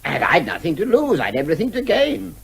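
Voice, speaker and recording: An English actor playing a cheeky, unflappable out-of-work performer posing as a neighbour in a comic radio drama, jaunty and wryly genteel. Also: male voice, high-pitched, wildly swooping pitch, fairly quick, creaky, a little hiss or hum, heavily acted. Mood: pleased.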